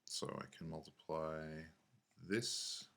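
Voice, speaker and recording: A man giving a casual software tutorial, thinking aloud in a laid-back, offhand, slangy way. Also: a bass voice, takes it slowly.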